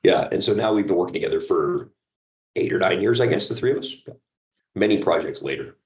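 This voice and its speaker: Very deep-voiced man in an echoey room. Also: lively intonation, a little fast, a little mellow. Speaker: podcast guest, producer. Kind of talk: casual interview chat. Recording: roomy, echoing sound.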